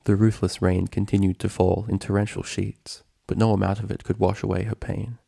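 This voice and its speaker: A man reading a story aloud as narrator, in a solemn, measured, dramatic style. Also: fairly low pitch, little pitch movement, gravelly, warm tone, no room sound, studio quality. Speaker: narrator, fan fiction reader.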